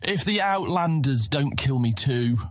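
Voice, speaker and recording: A man playing an earnest young guild ranger in a fantasy audiobook, husky, polite and persuasive as he pleads his case. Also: medium pitch, lively intonation, unhurried, dry recording, studio quality, close to an everyday voice. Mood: earnest, insistent. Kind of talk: full-cast fantasy audiobook acting.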